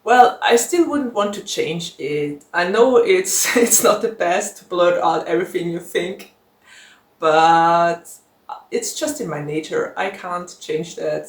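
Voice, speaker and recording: A woman whose first language is German, chatting casually to her viewers in a Q&A vlog, frank and laughing often. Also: lowish voice. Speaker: book YouTuber. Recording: echoey room.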